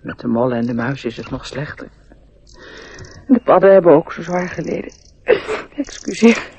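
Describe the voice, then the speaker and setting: A man's voice: on the high side, big pitch swings, normal speed, a little mellow, breathy edge, heavily acted. A man acting a courteous, aggrieved rabbit with a lamenting manner in a radio play. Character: polite, aggrieved adult rabbit burrow owner.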